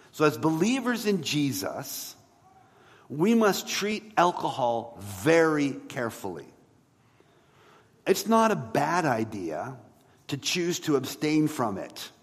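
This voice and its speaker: A man preaching a sermon as a pastor, in a conversational way with rhetorical questions and emphatic pauses. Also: mid-range voice. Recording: long hall reverb.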